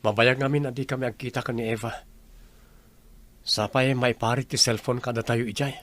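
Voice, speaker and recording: A man's voice: mid-range voice, fairly even intonation, a little fast, a bit bright, natural voice. A man playing an earnest, lovesick young police recruit in a radio drama. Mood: downcast, resigned.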